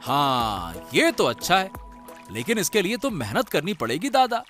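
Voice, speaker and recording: A man's voice: sits high, huge pitch swings, moderate pace, fairly bright tone, faint music behind, exaggerated voice. A man playing a simple, honest, talkative younger boatman in a cartoon story, exclaiming and repeating his words. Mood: friendly, earnest. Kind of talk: Hindi cartoon story dialogue.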